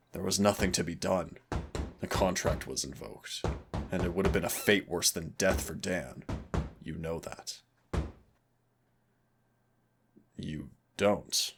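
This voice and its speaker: Deep, gravelly male demon played by a man. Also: a bit bright, heavily acted. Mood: somber, consoling. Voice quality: very low, gravelly creaky voice. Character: teasing, rebellious centuries-old male demon hunter, wistful yet protective. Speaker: audio roleplay voice actor. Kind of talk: intimate second-person audio roleplay acting.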